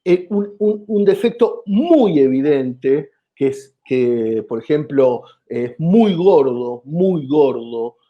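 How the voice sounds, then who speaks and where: A man's voice: a little high, lively intonation, takes it slowly, hardly any pauses, lo-fi sound. A man from Argentina with an Argentine accent, explaining his ideas as a comedian in an interview, with many hesitations and restarts. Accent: Argentine Spanish. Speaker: comedian guest.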